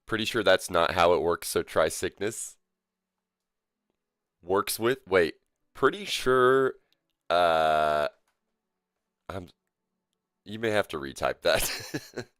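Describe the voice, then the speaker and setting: A man's voice: sits low, expressive, slow, long pauses, a bit bright, smooth, no echo, clean studio sound. A man live streaming a game, sick and coughing, chatting loosely with viewers and joking as he greets chat. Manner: loose and joking, rambling with fillers. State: sick with a cough, voice deeper than usual. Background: faint game music.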